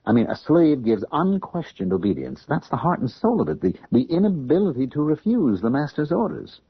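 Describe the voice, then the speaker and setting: An American man playing a tormented, submissive young man in a radio drama, earnest and halting, as if confessing. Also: fairly high pitch, sing-song, slightly rough, dry recording, heavily acted. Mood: intense, confessional. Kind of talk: 1970s radio drama acting. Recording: muffled old radio drama recording.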